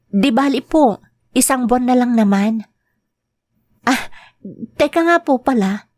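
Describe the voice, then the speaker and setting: A woman's voice: on the high side, expressive, a little mellow, dry recording, studio quality. A woman playing a grieving mother. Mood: earnest. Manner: slow, heartfelt dramatic delivery. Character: grieving mother working abroad. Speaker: overseas domestic worker. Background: faint guitar music.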